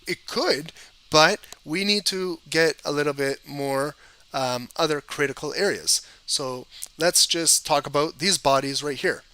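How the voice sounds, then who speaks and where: A man with a cold and a hoarse voice, explaining trading charts to viewers in a casual, conversational way. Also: on the high side, a little slow, sharp tone. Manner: conversational, addresses viewers directly. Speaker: trading educator. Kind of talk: live-streamed chart analysis.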